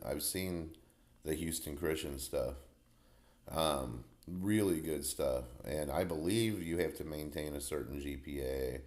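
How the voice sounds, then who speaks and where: A man's voice: extremely low pitch, a little mellow. A man in his forties hosting a casual podcast chat, talkative and slangy, laughing easily. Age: 40s. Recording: roomy echo.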